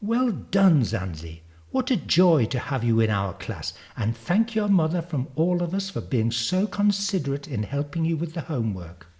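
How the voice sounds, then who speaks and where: A man's voice: fairly high pitch, wildly swooping pitch, normal speed, hardly any pauses, darkish tone, voice pushed up. A man voicing an effusive, admiring woman schoolteacher in a storybook, speaking formally. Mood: gushing. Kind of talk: storybook character reading.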